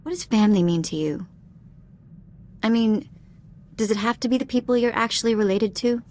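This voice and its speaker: A woman playing a cheeky, warm-hearted young alien ex-thief in a video game, speaking in a casual, candid, slangy way. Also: a little high, moderate pace, natural voice. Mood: wistful. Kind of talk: video game dialogue acting.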